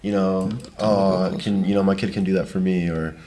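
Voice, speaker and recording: A man's voice: sits low, level tone, moderate pace, warm tone, a little hiss or hum. A man chatting casually and agreeably, with an encouraging way of talking, as a podcast guest. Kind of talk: casual chat.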